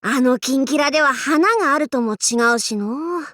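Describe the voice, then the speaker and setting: A woman's voice: high voice, animated, normal speed, fairly bright tone, no room sound, studio quality. A woman playing a proud, boisterous warlord in an anime game, with pompous, playful, archaic lordly speech. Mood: dismissive. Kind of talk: anime game character voice lines.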